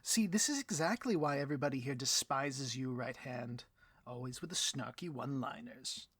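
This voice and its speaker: A man's voice: medium pitch, normal speed, fairly bright tone, slightly rough, no room sound. A man playing a bloviating, petty supervillain boss in a comedic audio roleplay, with a pompous, melodramatic delivery and a taste for rants. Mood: irritated.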